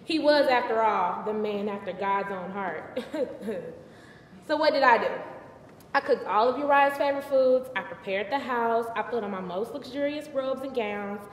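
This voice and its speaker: A woman's voice: a little high, normal speed, lightly acted. A young woman performing a live theatrical monologue as an indignant, wry biblical queen, conversational and emphatic. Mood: frustrated. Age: early 20s. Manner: conversational, sassy, emphatic, exasperated. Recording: distant mic, echoey hall, faint noise.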